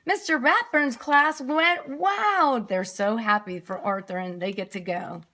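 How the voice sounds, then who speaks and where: A woman's voice: a little high, unhurried, bright, forceful tone. A woman reading a story aloud expressively to young children, as a nursery teacher who explains things simply.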